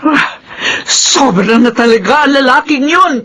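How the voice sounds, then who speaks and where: Animated, fretful old grandmother. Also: sits high, measured pace, a little hiss or hum. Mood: disapproving. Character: caring, anxious elderly grandmother. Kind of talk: Filipino radio drama acting.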